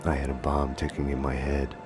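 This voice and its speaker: An actor playing a world-weary hard-boiled detective, narrating a noir game in a grim deadpan. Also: male voice, extremely low pitch, a little fast. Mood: brooding, grim. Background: music.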